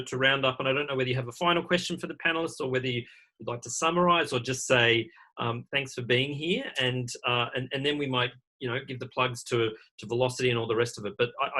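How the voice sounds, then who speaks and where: A man with a mid-pitched, very bright and forceful voice hosts an online event. He talks informally, in the style of someone handing over and signing off. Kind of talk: informal event hosting and sign-off.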